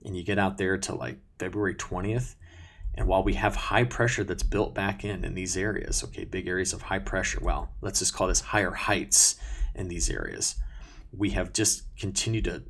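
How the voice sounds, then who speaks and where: A man's voice: low voice, fairly even intonation, normal speed, creaky, fairly bright tone, slightly rough. A man presenting an unscripted weather briefing in a conversational, self-correcting way, talking viewers through maps as a forecaster. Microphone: new sensitive close mic at a desk.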